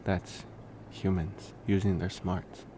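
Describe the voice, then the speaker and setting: A man playing a gentle, reflective college vampire in a soft-spoken ASMR roleplay, musing sleepily in a gravelly voice with unhurried pauses. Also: low voice, flat delivery, background noise. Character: gentle, reflective male college vampire rambling cosily to partner.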